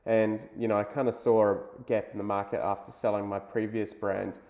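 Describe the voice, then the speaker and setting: A man's voice: fairly low pitch, brisk. A man in his thirties from New Zealand with a New Zealand accent, chatting casually as an interview guest at home, with many "um"s and "you know"s. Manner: relaxed, lots of "um" and "you know". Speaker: guest, brand founder. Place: living room at home.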